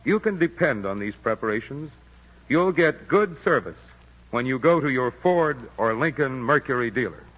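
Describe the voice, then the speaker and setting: A man reading a radio commercial in a measured, reassuring manner, heard on a dull old broadcast recording. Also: mid-range voice, expressive, big-room sound. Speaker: commercial announcer.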